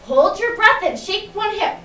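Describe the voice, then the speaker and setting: Young woman, very high and animated, theatrical kids' poetry reader, distant noisy recording. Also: moderate pace. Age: young adult. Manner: animated, exaggerated showmanship. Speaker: student readers theater performer. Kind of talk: theatrical poetry recitation for children. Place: echoey indoor room, far from mic. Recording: distant mic, echoey room, background noise.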